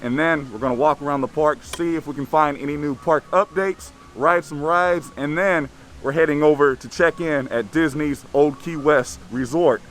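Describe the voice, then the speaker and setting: Male vlogger, slightly raspy, chatty and upbeat, recorded outdoors at a park. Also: fairly high pitch, moderate pace, fairly bright tone. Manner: enthusiastic, chatty. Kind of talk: upbeat vlog narration.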